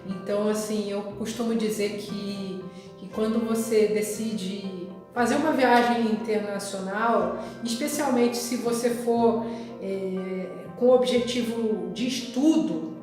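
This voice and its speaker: In a very reverberant recording with music behind, a woman speaks in a Rio de Janeiro Brazilian accent. She takes her time, reflecting casually as a vlogger and often pausing mid-thought. Her pitch sits in an average range for a woman. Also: darkish tone. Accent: Rio de Janeiro Brazilian Portuguese. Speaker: vlogger recounting travel memories. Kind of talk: casual personal storytelling. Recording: very echoey, reverberant room with music.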